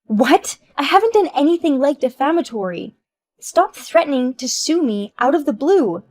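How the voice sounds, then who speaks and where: A woman plays a shrill, entitled young wife who whines and protests at every turn. Her voice rises high and loud, with a dry close sound and no room around it. It stays indignant and childish. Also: lively intonation, normal speed, no room sound. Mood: panicked pleading.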